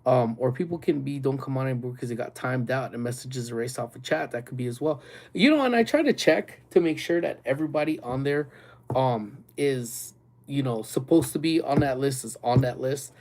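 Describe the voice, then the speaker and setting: A man hosts a laid-back livestream, rambling through his chat with lots of fillers and easy laughs. His voice is mellow and darker in tone, with a slightly nasal, congested edge. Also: medium pitch, brisk. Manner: rambling, loose talk with many fillers and frequent laughs. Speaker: livestream host. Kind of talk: casual livestream chat with viewers.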